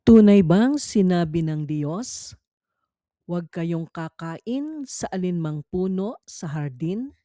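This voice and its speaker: A woman reading a scripted Bible quiz in a measured, formal, instructional manner. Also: lowish voice, expressive, mellow, dry recording, clean studio sound. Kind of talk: scripted read-along narration.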